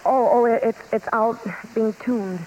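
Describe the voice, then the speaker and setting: A woman's voice: a little high, fairly even intonation, measured pace, a little mellow, lo-fi sound, slight background noise, close to an everyday voice. An actress playing a devoted, proud female vaudeville singer in a 1950s radio drama. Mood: earnest, wistful. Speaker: actress in lead role. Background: music.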